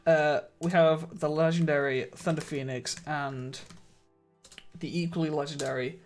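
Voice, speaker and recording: Guy streaming, kinda croaky voice, pitched a bit high, chatty and laughy, good studio mic. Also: fairly even intonation, normal speed, soft background music. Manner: chatty, laughs often, greets and thanks viewers. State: slightly croaky voice. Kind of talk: casual live-stream chat with viewers. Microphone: studio microphone.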